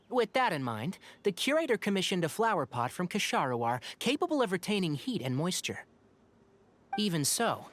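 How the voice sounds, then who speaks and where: A man voicing a calm, knowledgeable young male ranger in a video game, explaining things in a measured way. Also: on the high side.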